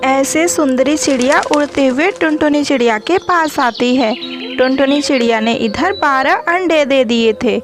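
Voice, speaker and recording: Slow, high-voiced woman storyteller. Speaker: narrator. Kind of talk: scripted children's story narration. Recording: music behind the voice.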